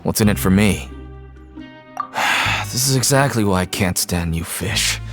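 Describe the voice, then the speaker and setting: An actor playing a gruff, jaded, mercenary prison inmate in a video game, curt and world-weary in manner. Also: male voice, lowish voice, unhurried, close to an everyday voice. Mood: dismissive, irritated. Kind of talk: video game character acting. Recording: background music.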